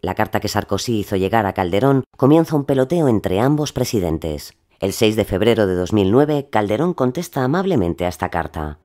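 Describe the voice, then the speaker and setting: A man's voice: fairly low pitch, very animated, a little fast, hardly any pauses. A man delivering scripted documentary narration for an audio series. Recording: loud music under narration.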